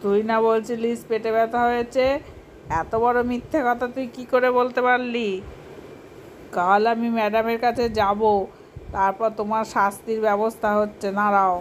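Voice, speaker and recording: Woman acting a stern high-voiced village mother. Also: level tone, moderate pace, slight background noise, natural voice. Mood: scolding. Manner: scolding. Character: stern but forgiving village mother doll.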